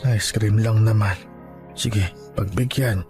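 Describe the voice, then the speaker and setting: An actor playing a poor, weary elderly grandfather who sells peanuts, gentle and hesitant, with a Filipino accent, in a radio drama. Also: fairly low pitch, takes it slowly, faint music behind. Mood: weary, indulgent. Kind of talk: Tagalog radio drama acting.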